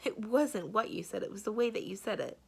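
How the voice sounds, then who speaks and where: Headstrong young storybook princess, lively and brisk woman reading. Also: mid-range voice, creaky, slightly rough, full character voice. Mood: frank, amused. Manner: brisk, retorting, self-assured. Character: headstrong, capable young princess, impatient and practical. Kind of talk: storybook read-aloud.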